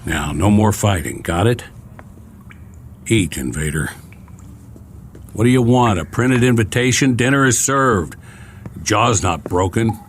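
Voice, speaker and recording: An actor playing a weary, sardonic soldier in a radio drama, gruff but not cruel, with a wry, bossy, colloquial way of talking. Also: male voice, fairly low pitch, expressive, a little slow, pauses often, slight background noise. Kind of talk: dramatic radio acting, often talking to himself. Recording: studio radio drama with music behind.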